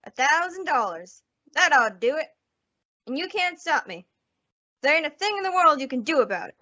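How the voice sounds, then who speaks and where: A woman's voice: high-pitched, very animated, normal speed, bright, forceful tone, heavily acted. A woman playing a bitter, vengeful rancher's wife in an old-time radio western, blunt and accusatory in folksy frontier speech. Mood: vengeful, threatening. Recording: dull lo-fi radio drama recording.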